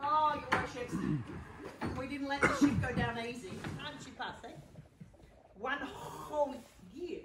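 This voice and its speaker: A woman playing a bold, rowdy male convict ringleader in a live comic stage play, shouting orders and joking with the audience. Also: high voice, fairly even intonation, measured pace, pauses often, distant mic, heavy background noise. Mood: boisterous. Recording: echoey live hall miked from afar, loud crowd.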